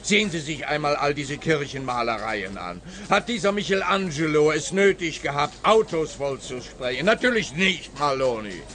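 A man's voice: fairly high pitch, expressive, moderate pace, few pauses, natural voice. An actor playing a pompous, grumbling, self-righteous policeman in a comic radio play, speaking in an emphatic, lamenting way. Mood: indignant. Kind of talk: radio play comedy dialogue.

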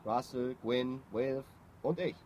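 An actor playing an eager, scheming young son, persuasive and insistent, in a German radio drama. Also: male voice, mid-range voice, takes it slowly, darkish tone. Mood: persuasive, urgent. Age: young adult. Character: eager, scheming adult son with big ideas.

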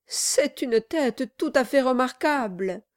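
A woman's voice: high-pitched, animated, takes it slowly, voice pushed up. Woman doing a fawning, timid lawyer. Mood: flattering. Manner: fawning, wheedling, deferential. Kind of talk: audiobook reading.

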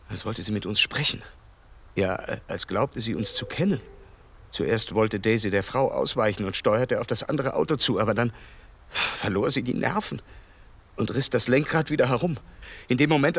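Shaken rich gentleman in a radio play, polite n hesitant, understated, medium pitched voice. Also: normal speed, gravelly, husky. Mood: shaken, confiding. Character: shaken, devoted rich gentleman keeping secret night watch. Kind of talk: naturalistic radio play acting.

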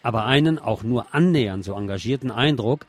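A man's voice: mid-range voice, fairly quick, a little hiss or hum. A man presenting news and commentary on radio, reading with wry, sardonic asides.

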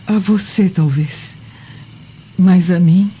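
A Brazilian woman playing a refined, uneasy Roman wife and mother in a radio drama, soft, formal and hesitant. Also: fairly low pitch, slow pace, a little hiss or hum. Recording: dull lo-fi audio, faint music.

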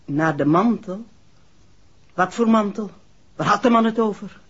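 A woman's voice: medium pitch, lively intonation, unhurried, husky. An actress playing a guarded, curt garage woman in an old radio drama, wary and clipped in her answers. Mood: guarded, denying. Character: guarded, curt garage-keeper mother with a grown son. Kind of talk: 1960s Dutch radio drama acting. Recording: muffled old radio recording.

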